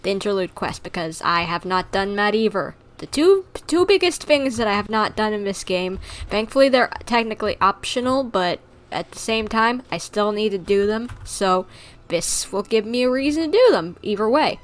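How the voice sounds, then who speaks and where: A woman chatting casually on a gaming livestream, rambling along with animated griping. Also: mid-range voice, moderate pace. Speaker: streamer.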